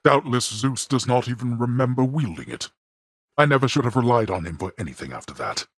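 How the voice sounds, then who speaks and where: A man's voice: animated, brisk, no echo. An actor playing a stern, proud god of the dead who scorns his son, speaking with cold, imperious contempt in video game voice lines. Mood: scornful. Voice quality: deep, raspy, gravelly. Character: stern proud male god of the dead, a harsh disapproving father. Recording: dry close studio recording.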